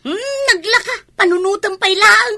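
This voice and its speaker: A woman's voice: extremely high pitch, normal speed, sharp tone, full character voice. A woman playing a sulky, indignant Ilocano wife who whines and grumbles in a comedy radio drama. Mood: whining, annoyed. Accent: Filipino, Ilocano. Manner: whining, grumbling.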